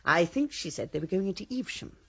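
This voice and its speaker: An actress playing a kindly, chatty English village woman with a raspy voice, confiding gossip in a radio drama. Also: lowish voice, lively intonation, fast, a bit bright, highs cut off, slight background noise. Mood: confiding, cheerful. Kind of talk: British radio drama acting.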